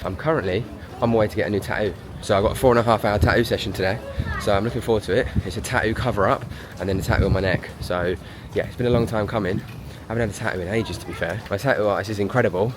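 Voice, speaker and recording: A man's voice: lowish voice, rapid-fire, background noise. A man, chatting casually in a vlog, a bit congested from a sore throat, upbeat and rambling. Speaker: vlogger.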